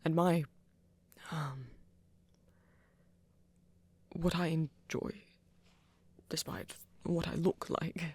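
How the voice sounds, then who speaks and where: A woman playing a flirty, tender vampire in an intimate ASMR romance, speaking gently and haltingly in a breathy half-whisper. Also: deep voice, fairly even intonation, dry recording, heavily acted. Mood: tender, hesitant. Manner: halting, gentle, careful phrasing. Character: flirty, tender vampire shyly confessing love to a hunter.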